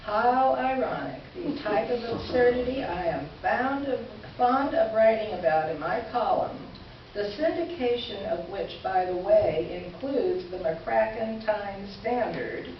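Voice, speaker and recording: A woman playing a wry, articulate columnist who reads her formal letters aloud in reader's theater with measured, pointed irony. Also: medium pitch, expressive, crowd noise. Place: indoor live stage presentation. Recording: echoey room, distant mic, muffled, noisy.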